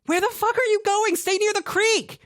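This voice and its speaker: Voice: medium pitch, animated, moderate pace, dry recording, studio quality, pitched above the performer's own voice, lightly acted. A narrator voicing a bossy, foul-mouthed young woman who barks orders and snaps at others. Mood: furious. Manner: sharp, commanding, swearing.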